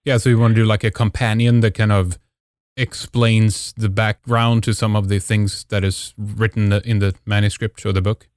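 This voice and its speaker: Male interview host, casual, addresses listeners directly, dry low voice. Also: level tone, moderate pace, dry recording. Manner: casual, talks to the audience directly. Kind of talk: interview questions.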